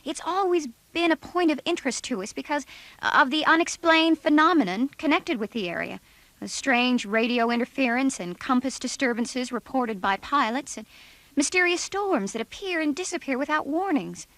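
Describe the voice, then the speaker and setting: A woman's voice: high-pitched, lively intonation, moderate pace, a bit bright, no room sound. An actress playing a confident female geophysicist giving a precise, formal briefing in a 1970s audio drama. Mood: earnest, precise. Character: confident female geophysicist presenting a momentous discovery.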